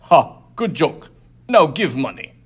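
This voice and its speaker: A man voicing a greedy, menacing alien gang boss who speaks forcefully in clipped, broken sentences, in an audiobook story. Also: on the high side, big pitch swings, takes it slowly, breathy edge, exaggerated voice. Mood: mocking.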